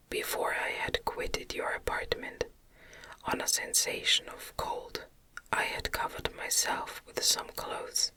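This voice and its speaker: Woman whispering very slowly, ASMR reading. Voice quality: breathy, unvoiced whisper. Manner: gentle, soothing, deliberate. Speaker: audiobook reader. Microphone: close microphone.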